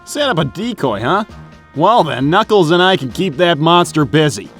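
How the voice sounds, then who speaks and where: A man voicing a cocky, quick young hedgehog hero in a cartoon comic dub, confident, casual and energetic. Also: fairly high pitch, soft background music.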